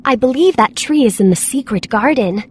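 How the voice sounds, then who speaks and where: Woman voicing a high-pitched, lonely, sour little girl. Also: animated, moderate pace, a little hiss or hum, natural voice. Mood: curious. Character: lonely, sour young girl, curious and blunt. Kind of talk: animated children's story acting.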